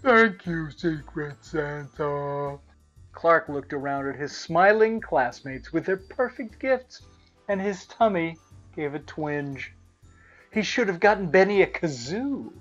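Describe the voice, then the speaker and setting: A woman narrating a children's storybook aloud in an expressive style. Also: lowish voice, a little slow. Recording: slightly muffled, music behind.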